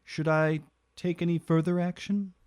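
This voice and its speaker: An actor playing a dutiful, deferential government agent in an audio drama, reporting in a formal, businesslike way. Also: male voice, on the high side, steady tone, moderate pace, a little mellow, clean tone, close to an everyday voice. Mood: dutiful, concerned.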